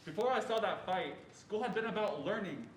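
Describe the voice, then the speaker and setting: A man's voice: sits high, expressive, brisk. A young man playing a reflective narrator haunted by a childhood memory, in scripted stage narration. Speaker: student reader in a reader's theatre performance. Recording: echoey room, distant mic, faint noise.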